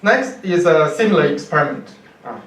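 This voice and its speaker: A man with a Chinese accent giving an academic lecture from notes in a halting, deliberate manner. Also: a little high, animated, fairly bright tone. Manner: halting, deliberate, with repeated words. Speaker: university professor giving a lecture. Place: indoor university lecture room, speaker at a podium. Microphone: far camera microphone. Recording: roomy, distant lecture hall pickup.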